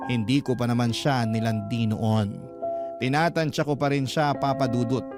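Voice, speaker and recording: A Filipino man playing a lovestruck storyteller, recounting his romance in a measured, confessional Tagalog radio drama narration. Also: mid-range voice, steady tone, natural voice. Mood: reflective, hopeful. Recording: music behind the voice.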